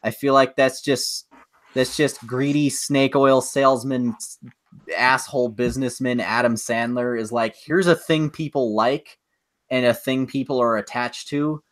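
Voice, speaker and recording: A man's voice: medium pitch, steady tone, unhurried, a bit bright. A man co-hosting a podcast in casual chat, ranting loosely with swearing and plenty of filler words. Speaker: podcast co-host.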